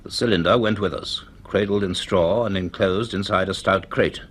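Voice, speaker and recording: A low-pitched, donnish man of science in a radio play. He speaks with measured deliberation and quiet authority, an inquisitive professor telling his tale. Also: husky. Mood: reflective, uneasy. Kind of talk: radio drama acting and reflective first-person narration.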